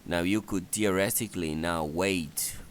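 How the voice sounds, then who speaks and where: A man's voice: deep voice, a little slow, slight background noise. A man giving an unscripted strategy game walkthrough in a hesitant, step-by-step instructional manner. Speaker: gameplay guide narrator.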